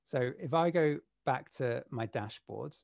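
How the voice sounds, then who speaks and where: A man presenting a screen-share walkthrough in a webinar, talking in a halting way with many fillers and restarts. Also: medium pitch, gravelly, darkish tone, no room sound, clean studio sound. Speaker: webinar presenter for a sourcing platform.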